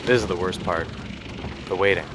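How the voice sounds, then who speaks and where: A man's voice: deep voice, a little fast, lightly acted. A man playing a courteous, folksy, plain-spoken military helicopter pilot in an audio drama. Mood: calm, reassuring. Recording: loud engine and battlefield noise effects.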